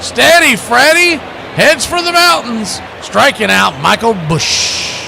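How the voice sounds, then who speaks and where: A man's voice: very high-pitched, sing-song, slow, creaky, pushed and bright. A man doing live baseball play-by-play in a conversational, folksy way, mixing the call with casual asides. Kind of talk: live radio sports commentary with casual asides. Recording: noisy ambience behind the voice.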